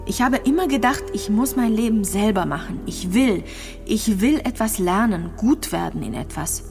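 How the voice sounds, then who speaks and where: Actress as a wistful, halting sick young woman, music behind. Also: mid-range voice, animated, darkish tone, close to an everyday voice. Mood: nostalgic. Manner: halting, searching, repeats phrases. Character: gravely ill young woman in a clinic, wistful, resigned, lonely. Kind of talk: radio drama monologue, intimate recollection.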